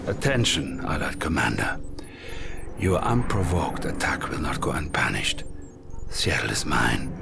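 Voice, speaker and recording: A menacing video game dictator with a very low, creaky voice. He commands slowly and hypnotically, half whispering. The sound is echoey and distant. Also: very animated, heavily acted. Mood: cold threat. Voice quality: breathy, gravelly. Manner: slow, deliberate, commanding. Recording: echoey, distant, under loud music.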